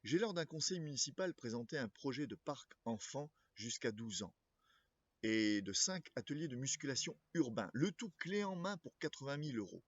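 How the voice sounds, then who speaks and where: A man with a husky, mid-pitched voice delivers a scripted political address as a local candidate. His delivery is formal and measured, with an occasional stumble over a word. The recording is dull and lo-fi, like a homemade video. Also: very animated, no room sound.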